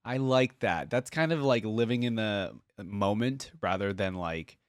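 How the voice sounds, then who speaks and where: A man's voice: lowish voice, a little slow, clean tone, no room sound. A man hosting a reaction video, giving casual commentary and thinking aloud as he goes.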